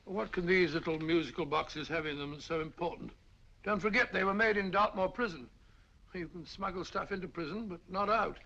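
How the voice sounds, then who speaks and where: An actor playing a bluff, puzzled male companion in a 1940s film, with a gravelly, exasperated manner. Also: sits high, fairly quick, pushed and bright, full character voice. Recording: dull old film soundtrack.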